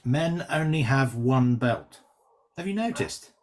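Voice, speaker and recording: A man playing a brash, quick-witted stand-up comedian with sardonic, teasing banter in a script reading. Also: mid-range voice, expressive. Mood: cocky teasing.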